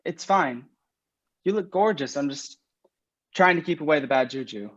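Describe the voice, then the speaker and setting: A man's voice: on the high side, animated, moderate pace, fairly bright tone. A man reading a nervous young groom in a virtual staged reading, with an anxious, guarded delivery. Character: nervous groom in his twenties. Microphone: video call. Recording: muffled lo-fi video call audio.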